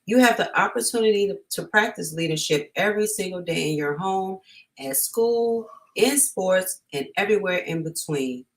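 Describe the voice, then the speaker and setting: A woman's voice: medium pitch, animated, moderate pace, poor audio. A woman hosting a storytime, reading aloud and chatting informally and encouragingly to families. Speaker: storytime host.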